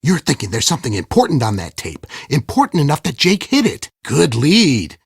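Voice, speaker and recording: An actor playing a smug, impatient schemer who poses as a police detective in an adventure game, with a condescending, theatrical delivery. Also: male voice, medium pitch, wildly swooping pitch, moderate pace, vocal fry, husky, no echo, clean studio sound, heavily acted. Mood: businesslike, stern. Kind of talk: scripted game character dialogue.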